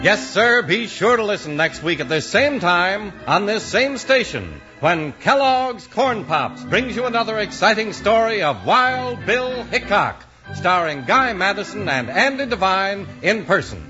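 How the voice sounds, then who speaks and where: A man's voice: high-pitched, big pitch swings, measured pace. An American man announcing in an emphatic, polished 1950s radio style. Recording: muffled vintage radio recording. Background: organ music.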